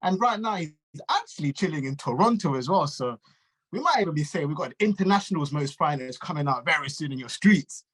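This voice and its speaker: A man's voice: fairly high pitch, animated, fairly quick, a bit bright, breathy edge, rough recording. A man hosting a casual interview, talking in an informal, slangy, encouraging way. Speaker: interviewer and show host. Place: remote video call.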